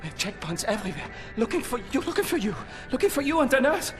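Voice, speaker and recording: An actor playing a defiant, spiteful German-accented Nazi prisoner in a video game. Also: male voice, very high-pitched, very animated, fast talker, music in the background. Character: defiant, spiteful male Nazi captive under interrogation.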